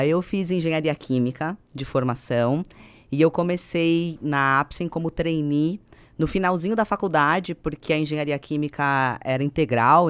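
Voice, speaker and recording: Casting: Brazilian female executive as podcast guest, smooth clear voice, dry close sound, conversational storyteller. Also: low-pitched, no room sound.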